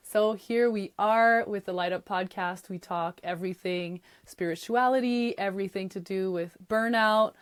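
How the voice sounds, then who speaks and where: A woman in her thirties from Quebec whose first language is French, hosting her own podcast alone and talking in a chatty, upbeat way with soft laughs between phrases. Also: mid-range voice, smooth. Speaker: podcast host. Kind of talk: casual solo podcast monologue.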